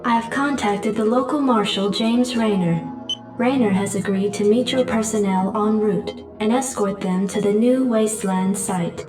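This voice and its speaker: A calm, formal computer adjutant who reads out briefings and alerts, as a woman acts her out. Her voice sounds very echoey and set back from the mic, with music underneath. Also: mid-range voice, moderate pace, natural voice. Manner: formal, even announcements. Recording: echoey distant game audio with music.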